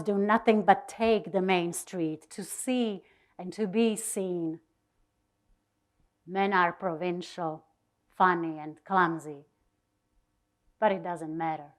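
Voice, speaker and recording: Very slow, expressive woman reading dramatically. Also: mid-range voice. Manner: deliberate, expressive, with pauses. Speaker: reader of a diary.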